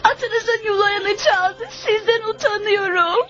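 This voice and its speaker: A woman's voice: very high voice, fairly even intonation, slow, heavily acted. A woman voicing an earnest, anxious young boy in a dubbed cartoon. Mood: tearful, ashamed. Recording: dull lo-fi cartoon soundtrack, loud music.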